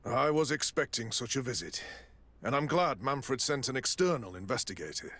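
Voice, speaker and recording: An actor playing a troubled, candid garrison quartermaster in a video game, speaking formally and expressively. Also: male voice, mid-range voice, animated, moderate pace, fairly bright tone. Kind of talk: dramatic video game dialogue.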